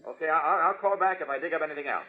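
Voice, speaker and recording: A man's voice: on the high side, very fast, lightly acted. An actor playing an earnest, dogged, mild-mannered male reporter turned sleuth in an old radio drama. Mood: businesslike, confiding. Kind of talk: 1950s radio drama acting. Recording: muffled vintage radio recording.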